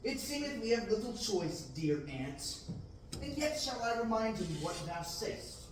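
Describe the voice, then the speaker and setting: Young man, very animated high-pitched script reading. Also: measured pace. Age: young adult. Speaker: amateur reader at a student table reading. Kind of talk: mock-Shakespearean script read aloud. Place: large indoor rehearsal room, far camera. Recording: distant camera in echoey noisy room.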